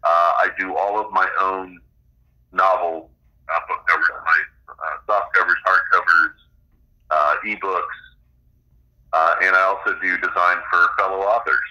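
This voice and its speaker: A man's voice: sits low, steady tone, a little slow, pauses often, pushed and bright, muffled recording. A middle-aged man explaining his own creative work as a guest in a casual interview. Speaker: interview guest, game designer and author.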